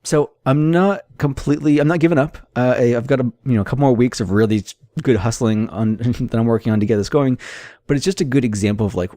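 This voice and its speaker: A man's voice: mid-range voice, fast talker, dark tone, dry recording, studio quality. A man giving a casual, unscripted monologue of advice as a game developer, conversational and thinking aloud. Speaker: solo indie game developer hosting an audio log.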